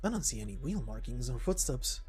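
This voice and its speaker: A man voicing wary, husky border patrol soldiers. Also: mid-range voice, very animated, a little fast, darkish tone, faint music behind. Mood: puzzled, alert.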